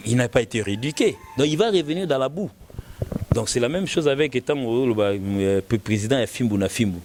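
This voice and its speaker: Congolese man, halting and repetitive talker. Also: medium pitch, lively intonation, background noise. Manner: halting, repeating words as the argument builds. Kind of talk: casual opinionated talk mixing French and Lingala.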